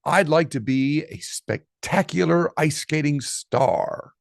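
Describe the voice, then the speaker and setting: A man's voice: fairly high pitch, takes it slowly, vocal fry, dry recording, clean studio sound. A man voicing a hopeful, determined young female pig who speaks earnestly in a children's storybook.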